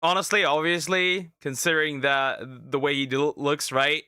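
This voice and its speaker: Bright, excitable young man reacting. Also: on the high side, clear, resonant tone, no room sound, studio quality. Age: 20s. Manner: excitable, stammering, quick asides and sarcastic quips. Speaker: streamer reacting to a video. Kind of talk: casual live reaction commentary.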